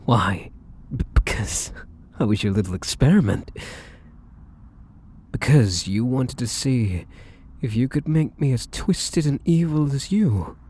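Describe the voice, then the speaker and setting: Man acting slow, husky brooding vampire. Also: medium pitch, expressive, a little mellow. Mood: bitter accusation. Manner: slow, theatrical, formal phrasing. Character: defiant, protective young male vampire, bitter and menacing. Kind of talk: ASMR audio roleplay acting.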